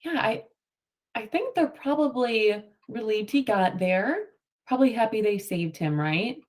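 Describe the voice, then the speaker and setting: Female teacher, unhurried delivery, read-aloud storytelling mixed with instructional prompts for young pupils. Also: a little high, expressive. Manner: instructional, prompting and affirming answers. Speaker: teacher reading aloud to children. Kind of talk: picture-book read-aloud with questions to a class.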